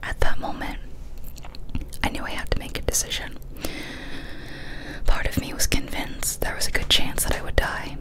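Young woman whispering a scary story. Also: poor audio. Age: young adult. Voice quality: breathy, unvoiced whisper. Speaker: ASMR storyteller. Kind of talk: whispered ASMR reading of a first-person horror story. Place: small cozy indoor room. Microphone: close studio condenser mic on a boom arm.

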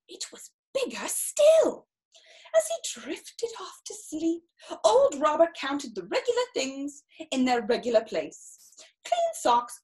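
High, very animated woman reading a storybook. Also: poor audio. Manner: theatrical, expressive, drawn-out emphasis with exclamations. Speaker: storyteller reading a picture book. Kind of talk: children's story time read-aloud with chatty asides. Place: small room indoors, seated in an armchair.

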